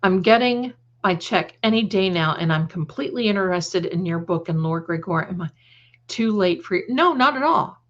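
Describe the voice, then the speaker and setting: A woman's voice: fairly low pitch, animated, normal speed, a bit bright. Effusive woman livestreamer, slightly muffled audio. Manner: effusive, affectionate endearments to viewers; rambling, repeats phrases for emphasis. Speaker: livestream host and creator. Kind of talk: casual live chat with viewers.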